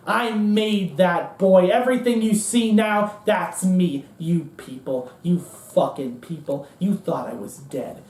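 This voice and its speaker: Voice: medium pitch, warm tone, lightly acted. A performer on stage voicing a bitter, gloating, foul-mouthed dead power broker in an emphatic, repetitive rant. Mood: bitter anger. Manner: ranting, emphatic, repetitive, profane. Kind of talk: theatrical monologue. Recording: roomy echo, distant mic.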